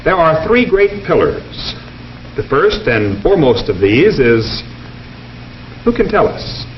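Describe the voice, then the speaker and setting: An actor playing an earnest American professor in a 1950s educational film, speaking in a formal, deliberate, didactic way. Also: male voice, on the high side, big pitch swings. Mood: earnest, instructive. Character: earnest male economics professor lecturing his class. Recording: dull, noisy 1950s film soundtrack.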